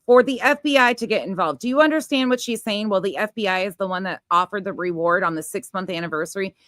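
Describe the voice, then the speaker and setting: A woman's voice: medium pitch, quick pace, a bit bright, no room sound, studio quality. A woman giving informal reaction commentary in a blunt, exasperated, sweary way. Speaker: online commentator reacting to another streamer.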